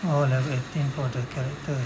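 A man's voice: medium pitch, little pitch movement, fast talker. A man giving casual gameplay commentary in short, muttered remarks as he plays. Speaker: gamer playing a walkthrough. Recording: very noisy gameplay recording. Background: game sounds and ambience.

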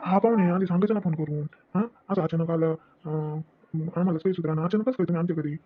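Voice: medium pitch, fast talker, narrow, tinny audio, slight background noise, lightly acted. An actor playing a blunt, easily irritated village family man in a comedy drama, with a rural Maharashtrian Marathi accent. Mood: flustered. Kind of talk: acted family comedy dialogue.

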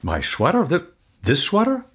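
Guy doing concerned man in audio drama, husky, super animated intonation, hesitant and coaxing. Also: on the high side, normal speed, heavily acted. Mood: puzzled, concerned. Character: Pete, concerned man soothing a distressed woman.

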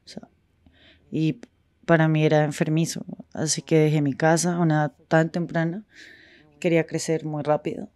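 A woman speaking frankly in a street interview, with halting pauses and restarts. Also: sits low, flat delivery, darkish tone, dry recording.

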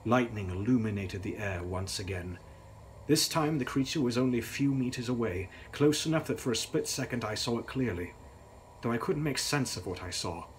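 Young guy reading a gothic horror book out loud, very dramatic and serious, bit of background noise. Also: mid-range voice, moderate pace, rough recording. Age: young adult. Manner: theatrical, grave. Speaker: author reading his novel.

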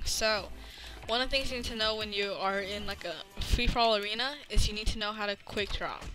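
Thirteen-year-old boy gamer over music. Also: high voice. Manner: youthful, informal. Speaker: gaming video host. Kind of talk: casual gameplay commentary and chat. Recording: music playing behind the voice. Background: loud music.

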